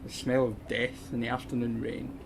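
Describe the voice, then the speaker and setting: Gruff actor as a stubborn old man, noisy. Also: medium pitch, completely flat delivery, normal speed, close to an everyday voice. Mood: gruff, ominous. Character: stubborn, morbid old man in bleak landscape. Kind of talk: radio play dialogue.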